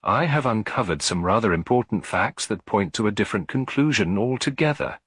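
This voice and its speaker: A man voicing a calm, shrewd elderly lady detective in an audiobook, with a measured, gentle delivery. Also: fairly low pitch, husky, no echo, pitched above the performer's own voice. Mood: composed, firm. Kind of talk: audiobook character dialogue.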